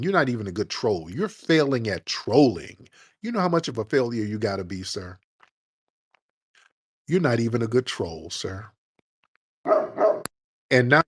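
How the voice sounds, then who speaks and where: A man's voice: on the low side, husky. Mocking, combative male stream host. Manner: mocking, combative, repeats "sir". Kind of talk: confrontational call-in show.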